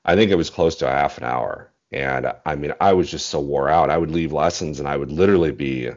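A man in his forties with a very deep bass voice, speaking modestly and a little haltingly in a casual interview over a video call. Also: darkish tone. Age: 40s. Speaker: interview guest, bass singer. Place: small indoor room at home. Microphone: earbud headset on video call. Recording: dull, muffled video-call audio.

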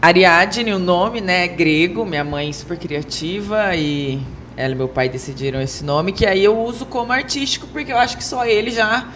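Low-voiced young Brazilian woman. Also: moderate pace, few pauses, crowd noise. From Brazil, Rio de Janeiro and Mato Grosso do Sul. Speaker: guest, samba singer. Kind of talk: casual personal introduction. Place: small wood-panelled TV studio, indoors. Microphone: handheld microphone.

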